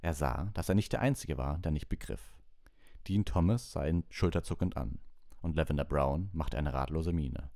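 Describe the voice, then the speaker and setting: A man's voice: a bass voice, moderate pace, warm tone, no echo, clean studio sound. A man reading a storybook aloud as scripted audiobook narration. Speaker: audiobook reader.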